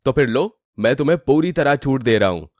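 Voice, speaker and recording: A man's voice: medium pitch, animated, brisk, a little mellow, no echo, studio quality, lightly acted. A man playing an earnest, firm young husband in a cartoon moral story. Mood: bitter, firm. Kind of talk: animated moral-story dramatisation.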